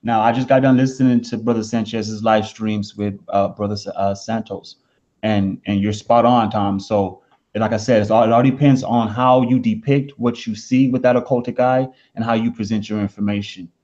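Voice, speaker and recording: A low-voiced man joins the stream as a caller, speaking formally and haltingly, restarting his sentences often. His audio is dull and lo-fi. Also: steady tone, darkish tone. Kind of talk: casual live-stream discussion.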